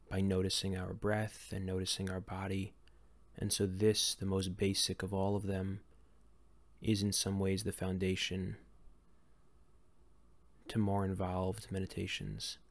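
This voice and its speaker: A man leading a guided meditation in a gentle, calm, unhurried way with long pauses between phrases. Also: deep voice, dry recording. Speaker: meditation guide and series host.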